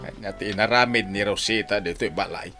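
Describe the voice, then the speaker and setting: A man's voice: lowish voice, a little fast, over music, close to an everyday voice. A man with an Ilocano accent playing a stubborn, sickly, grumbling old father in a radio drama. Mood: stubborn, evasive. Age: older.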